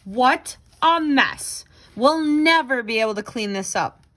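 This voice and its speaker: Lady doing a kids book read aloud, slow pace, super swoopy and dramatic. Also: a little high. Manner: expressive, dramatic, exclaiming. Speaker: storybook reader. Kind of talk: animated children's picture book read-aloud.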